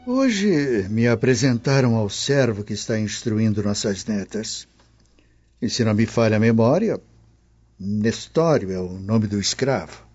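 An actor with a Brazilian accent playing a stern, distrustful old Roman husband in a radio drama, haughty and grumbling. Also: male voice, fairly low pitch, expressive, a little slow, darkish tone, breathy edge, soft background music. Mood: disapproving, suspicious. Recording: dull lo-fi radio drama recording.

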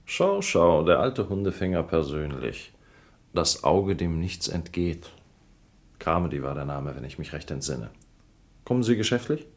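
Raspy, swooping sly shyster lawyer. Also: male voice, very deep voice, big pitch swings, unhurried, full character voice. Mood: mocking. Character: sly, mocking male shyster lawyer, slippery schemer. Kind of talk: radio drama acting.

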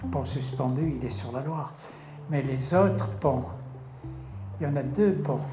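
A man lays out local history slowly and carefully, pausing to find words. His voice sits in a roomy space, with clear music playing underneath. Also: medium pitch. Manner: measured, halting explanation. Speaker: local witness to factory history. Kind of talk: explanatory talk.

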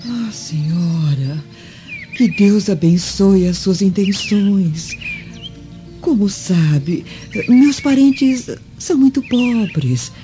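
An actress playing a devoted, cautious slave maid in a Brazilian Portuguese radio drama, deferential and gentle. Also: female voice, medium pitch, expressive, drawn-out words. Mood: reverent. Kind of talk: dubbed radio drama acting. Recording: dull lo-fi audio with music underneath.

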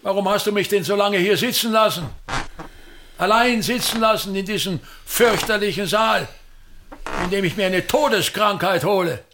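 A man's voice: high-pitched, moderate pace, fairly bright tone, a little hiss or hum. An actor in a radio play as a pompous, self-pitying older theatre director and father, grandiloquent and complaining, repeating himself. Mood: peevish, complaining.

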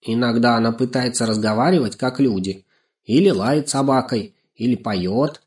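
A man's voice: medium pitch, moderate pace, darkish tone, close to an everyday voice. A man voicing a proud, wise old female crow with a dignified, musing manner in a children's story.

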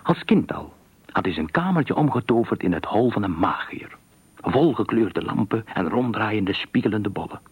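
A man with a low, husky voice reads a literary thriller story for radio. His delivery is measured and expressive, like a practised storyteller. The sound is dry and close. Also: dry recording. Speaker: narrator.

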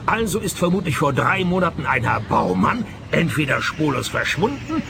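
An actor playing a dry, stubborn private detective in a radio play, with a Swiss accent and a deadpan, sardonic delivery. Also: male voice, a little high, moderate pace, gravelly, background noise. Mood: insistent. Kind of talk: radio play acting and first-person narration.

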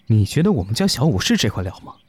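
A man playing a courteous, protective eldest brother in an audio drama, speaking calmly and earnestly. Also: medium pitch, fast talker, slightly rough, no echo. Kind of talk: Chinese audio drama acting.

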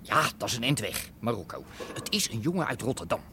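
An actor playing a scheming Rotterdam hustler in a naturalistic radio drama, talking in wheedling, persuasive, colloquial sales patter. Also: male voice, mid-range voice, sing-song, a little fast, sharp tone, a little hiss or hum. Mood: sly, confiding. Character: scheming Rotterdam hustler, boxing-school owner, pushy and persuasive.

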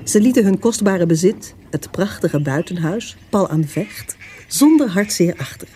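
A woman's voice: medium pitch, lively intonation, moderate pace, mellow, a little hiss or hum, close to an everyday voice. A woman narrating a historical adventure radio drama as a knowing storyteller, reading from a script. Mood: knowing, storytelling.

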